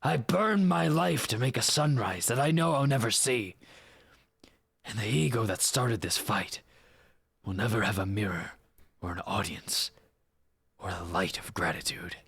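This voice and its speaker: Man acting a raspy, bitter, weary rebel. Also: fairly low pitch, a bit bright, dry recording, full character voice. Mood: rising anguish. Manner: intense, dramatic, deliberate delivery. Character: weary, bitter rebel who sacrificed everything for the cause. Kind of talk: dramatic monologue read.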